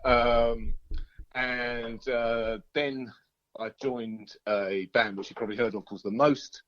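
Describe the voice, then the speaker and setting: A man's voice: mid-range voice, steady tone, slow, no room sound. Hesitant man, dull lo-fi sound. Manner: hesitant, rambling, easygoing. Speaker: band musician, interview guest. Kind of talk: casual interview chat. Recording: dull lo-fi call audio.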